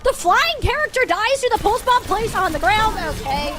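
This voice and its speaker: A woman's voice: very high-pitched, sing-song, moderate pace, over loud music. A woman doing live play-by-play commentary of a video game match, in a playful, colloquial, exclamatory way. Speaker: esports caster.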